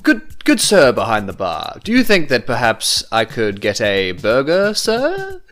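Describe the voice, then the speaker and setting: A man's voice: medium pitch, wildly swooping pitch, unhurried, a bit bright. A man voicing a lordly male tavern patron with a mock-courteous, hesitant way of talking. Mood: mock-grand.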